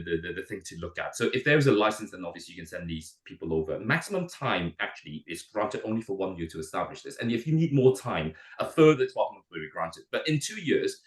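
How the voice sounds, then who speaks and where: A man recovering from a cold, speaking hoarsely as an immigration adviser in a webinar, talking fast with many repeated words and restarts. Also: on the low side, a bit bright, echoey room, low-quality recording. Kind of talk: webinar presentation.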